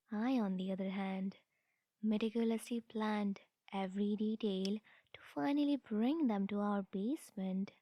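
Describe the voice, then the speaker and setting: Woman acting a slow, breathy, smug yandere sister. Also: medium pitch, warm tone, no echo. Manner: haughty, deliberate, cooing to the listener. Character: smug, possessive, scheming yandere sister, sweetly condescending. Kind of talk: ASMR comedy audio roleplay.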